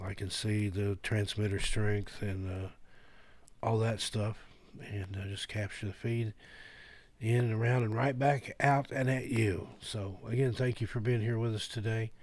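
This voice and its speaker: A male radio host with a fairly low voice chats casually on air. His delivery is informal and rambling, like unscripted live announcing. The sound is dry and close to the mic. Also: a little mellow, no room sound.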